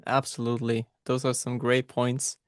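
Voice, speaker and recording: A man hosting a support group on a video call, at a middling pitch, stuttering through repeated sounds and fresh starts. His voice is very dry and close, with no room sound at all. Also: completely flat delivery, moderate pace, clear, resonant tone, no echo. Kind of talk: casual group discussion.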